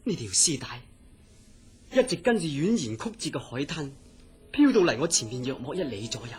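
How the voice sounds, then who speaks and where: Actor as obsessed dreamer, dramatic, swooping Cantonese delivery. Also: male voice, mid-range voice, sing-song, normal speed, soft background music, natural voice. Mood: obsessed, intense. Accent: Hong Kong Cantonese. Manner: earnest, dramatic storytelling. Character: troubled dreamer man, obsessed with a mysterious dream city. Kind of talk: Cantonese radio horror drama acting.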